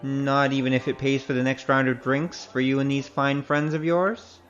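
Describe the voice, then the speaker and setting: A man's voice: medium pitch, level tone, moderate pace, fairly bright tone, soft background music, natural voice. A man playing a wry, cocky wandering gambler in an audio drama, sardonic and teasing. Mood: wry, needling. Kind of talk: audio drama acting with spoken self-narration. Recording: dull, slightly noisy audio.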